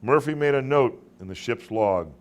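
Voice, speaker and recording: A man narrating literary short stories as their author, in a dramatic audiobook style with deliberate phrasing and emphatic pauses. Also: mid-range voice, expressive.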